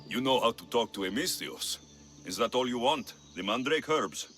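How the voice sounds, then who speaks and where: A man playing a hardened young Greek mercenary in a dramatic video game, gruff, terse and intense in his delivery. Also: mid-range voice, lively intonation, moderate pace, fairly bright tone, soft background music, close to an everyday voice. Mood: wry, businesslike. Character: hardened young Greek mercenary, skeptical, driven to protect family.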